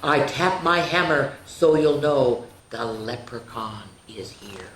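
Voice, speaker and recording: A woman's voice: rumbling low, steady tone, takes it slowly, echoey room, background noise. A woman voicing a cheeky, boastful little male leprechaun in sing-song rhyme, reading a children's picture book aloud. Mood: mischievous boasting. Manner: sing-song rhyming taunts.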